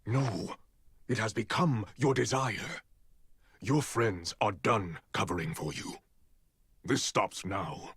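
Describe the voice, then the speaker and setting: An actor playing a stern, authoritative older Spartan commander, speaking formally and deliberately in a video game drama. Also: male voice, lowish voice, slightly rough, no echo, clean studio sound, heavily acted.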